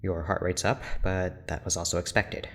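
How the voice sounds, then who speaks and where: A man acting a reserved, clinical research doctor in an audio drama, formal, measured and dryly blunt. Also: deep voice, gravelly, a little hiss or hum. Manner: formal, measured, clipped, deadpan.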